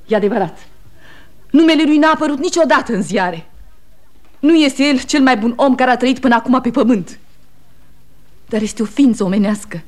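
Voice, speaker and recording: Radio drama, woman playing aging wife and mother: high voice, fiercely loyal, emphatic, pleading, reproachful. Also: long pauses, close to an everyday voice. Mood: indignant grief. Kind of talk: 1960s Romanian radio theatre acting.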